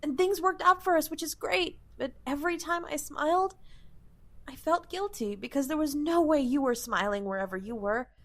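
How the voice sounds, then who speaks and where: Casting: actress as loving, protective young best friend for fantasy audio drama, high-pitched, soothing and sassy. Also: female voice, moderate pace, close to an everyday voice. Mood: tender, earnest. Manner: tender, soothing, sassy endearments.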